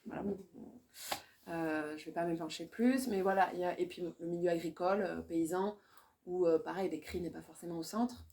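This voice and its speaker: A woman poet, talking in a relaxed discussion, often hesitating and restarting as she searches for words. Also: fairly low pitch, a little fast. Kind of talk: casual discussion.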